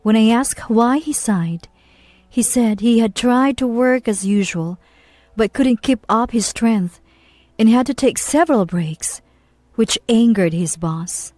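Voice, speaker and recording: A woman's voice: a little high, takes it slowly, darkish tone, no room sound. A woman reading scripted first-person story narration.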